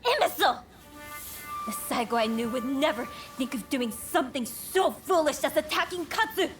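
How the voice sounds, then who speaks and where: An actress playing a dignified, stern princess in a video game, speaking formally and with composure. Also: female voice, high voice, lively intonation, normal speed, music in the background. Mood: indignant. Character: dignified, stern Japanese princess, commanding and gracious. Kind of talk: dramatic video game acting.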